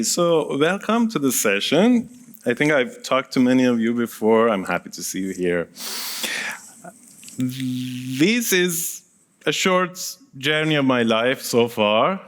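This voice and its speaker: A man whose first language is Persian, giving a conference talk in a casual, engaging way and polling the audience with questions. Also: medium pitch. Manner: engaging, quizzes the audience and counts hands; casual, with small laughs and asides. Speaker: conference speaker. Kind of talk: conference presentation.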